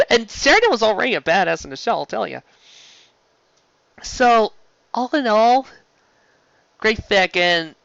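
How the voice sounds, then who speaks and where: Bright, forceful fan-show host, stumbling and gushing. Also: medium pitch, gravelly, no echo, dull audio. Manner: gushing, emphatic, often stumbling and restarting mid-word. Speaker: host of a fan fiction live-read show. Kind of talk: casual, rambling fan review.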